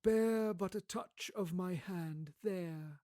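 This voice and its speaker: A man voicing an ageless spirit, part child and part old man, calm, solemn and commanding in a storybook radio play. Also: high voice, dry recording, clean studio sound, pitched above the performer's own voice. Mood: gentle, solemn. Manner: measured, solemn, unhurried.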